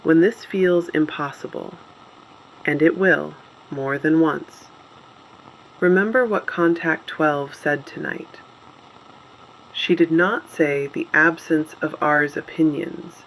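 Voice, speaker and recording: A performer playing a solemn, resolute resistance radio host in a scripted dystopian drama, speaking gravely and deliberately in short phrases. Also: mid-range voice, fairly bright tone, a little hiss or hum, poor audio.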